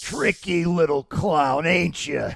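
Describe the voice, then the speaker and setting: A man voicing a cocky, thuggish supervillain in a comic dub, gravelly and taunting in slangy menace. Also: on the high side, slow, fairly bright tone, dry recording, clean studio sound, heavily acted. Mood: mocking. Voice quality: gravelly, raspy. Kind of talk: comic book dub voice acting.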